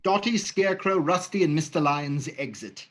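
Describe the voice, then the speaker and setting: A man's voice: fairly high pitch, moderate pace. Man reading stage directions steadily. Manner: steady, matter-of-fact reading. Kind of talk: livestream script reading.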